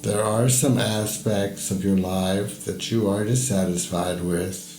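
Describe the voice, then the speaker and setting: A man narrates a guided meditation as a story, in a measured, deliberate manner with pauses between phrases. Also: lowish voice, steady tone, darkish tone. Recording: echoey voice over music. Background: music.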